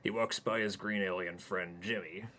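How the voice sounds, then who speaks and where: Low-voiced guy reading his own silly story, deadpan, a bit of hum. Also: normal speed.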